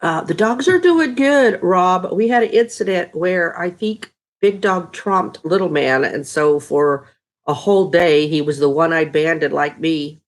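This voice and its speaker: Woman, middle-aged, stuffed-up nasal voice, lowish, easygoing live-stream host chatting with viewers. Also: measured pace. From Texas. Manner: chatty, greets viewers by name. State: stuffy, congested nose. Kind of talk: casual live chat reading viewer comments.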